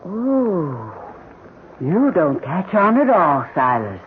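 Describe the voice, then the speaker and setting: An actress playing a scheming, hard-nosed blackmailer in a radio mystery drama, mocking and taunting in a cynical way. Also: female voice, fairly low pitch, big pitch swings, slow. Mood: sly, taunting. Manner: sardonic, taunting. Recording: tinny, slightly noisy old radio broadcast.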